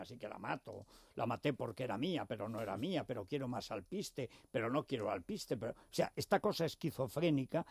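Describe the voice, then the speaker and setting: A male talk show host with an accent from Spain holds forth on politics. His voice is creaky and recorded dry, and his delivery is emphatic, sarcastic and full of digressions. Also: medium pitch, darkish tone, no room sound. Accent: Spanish from Spain. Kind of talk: impassioned political discussion.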